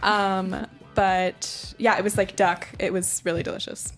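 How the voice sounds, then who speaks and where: Young female American student, mid pitch, conversational, soft music behind. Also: flat delivery, unhurried. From Los Angeles and Houston, USA. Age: young adult. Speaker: exchange student guest. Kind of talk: casual chat.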